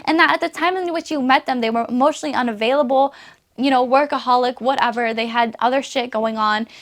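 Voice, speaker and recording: A woman's voice: high-pitched, fast talker. A woman giving a casual, chatty tarot reading, losing her voice a little, with frequent fillers and laughs. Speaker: tarot reader.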